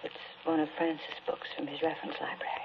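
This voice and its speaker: An actress playing a grieving, desperate widow in a melodramatic 1940s radio drama, intense, moving between hushed murmurs and pleading. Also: female voice, low-pitched, little pitch movement, fast, natural voice. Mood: subdued, calm. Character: grieving young widow, desperate and obsessively devoted to her dead husband. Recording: muffled, noisy 1940s radio recording.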